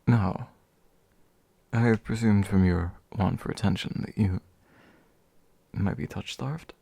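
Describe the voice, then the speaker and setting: A man playing a courteous, gentle demon in a soft ASMR roleplay, politely formal and a little hesitant. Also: fairly low pitch, slow, creaky, slightly rough, dry recording, exaggerated voice. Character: courteous, gentle male demon offering comfort to his summoner. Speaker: ASMR voice actor.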